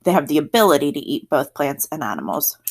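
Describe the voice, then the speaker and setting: A woman with a South Jersey accent teaches a science lesson to her students. She talks in a friendly, chatty way, sitting a little low in pitch for a woman, and she catches and fixes her own slips as she goes. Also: very animated, fast, a little mellow, husky. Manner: friendly, chatty explaining with self-corrections. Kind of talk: recorded classroom lesson.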